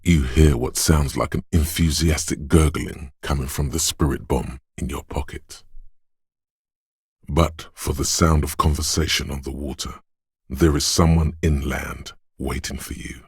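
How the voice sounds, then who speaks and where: An actor voicing a disembodied male inner sense of perception in a game, speaking in a hushed, measured, quietly descriptive way in the second person. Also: very deep voice, fairly even intonation, husky, no room sound, clean studio sound, exaggerated voice. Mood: hushed observant.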